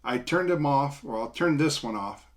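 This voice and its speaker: A middle-aged man with an American accent gives a casual, unscripted product demonstration in a plain-spoken, informal way, explaining things step by step with halting delivery. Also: medium pitch, expressive. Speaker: product reviewer. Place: small indoor room, seated at a table.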